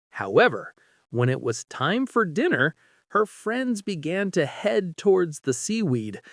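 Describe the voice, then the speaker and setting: A man narrating a bedtime story in a gentle, soft, unhurried way. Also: a little high, dry recording, studio quality.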